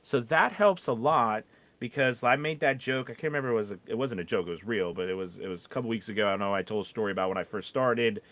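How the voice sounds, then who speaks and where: A man's voice: on the low side, fast talker, fairly bright tone. A man chatting casually on a podcast as a teacher, rambling and often restarting his thoughts. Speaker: voiceover teacher.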